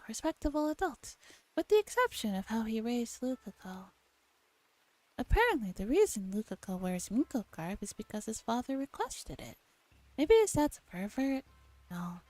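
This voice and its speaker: A woman livestreamer softly reading a visual novel aloud in ASMR style, acting out the dialogue expressively. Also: on the high side, moderate pace. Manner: expressive, acts out the dialogue lines. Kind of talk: soft ASMR read-aloud with translated dialogue. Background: game music.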